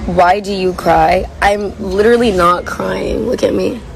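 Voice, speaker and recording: A young woman with a hoarse, worn voice chats casually while reading viewer comments on a livestream from a coffee shop. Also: medium pitch, fairly even intonation, a little slow, crowd noise, rough recording. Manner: chatty, rambling, reads comments aloud. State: hoarse, lost voice. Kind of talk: casual chat.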